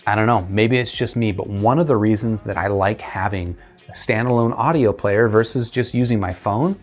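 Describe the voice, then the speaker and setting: Low-voiced man reviewing gadgets over music. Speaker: product reviewer, video host. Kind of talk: casual unboxing and review talk.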